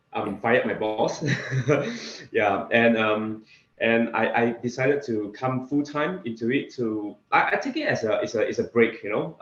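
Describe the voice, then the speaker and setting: A man from Singapore with a Singaporean accent, talking casually as an interview guest in a halting way, often repeating words. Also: mid-range voice. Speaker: interview guest, real estate investor. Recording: echoey room, slightly dull sound.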